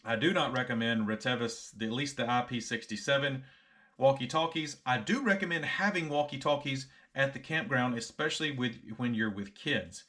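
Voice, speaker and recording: A man's voice: mid-range voice, moderate pace, pushed and bright. A middle-aged American man reviewing a product casually to camera, frank and conversational, often repeating himself.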